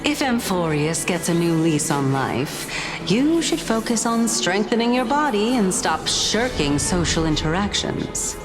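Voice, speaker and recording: A woman's voice: lowish voice, very animated, a little slow. A woman playing a proud, teasing Titan spirit in a video game, with a playful and haughty manner. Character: proud, teasing female Titan spirit sharing a man's body. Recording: background music.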